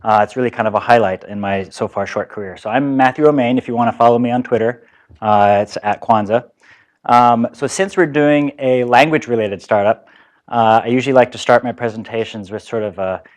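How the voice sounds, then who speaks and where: Man, thirties, seminar lecture, middle pitch, relaxed and explanatory, lots of fillers. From Japan. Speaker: startup founder giving a seminar talk. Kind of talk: conference lecture. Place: lecture hall.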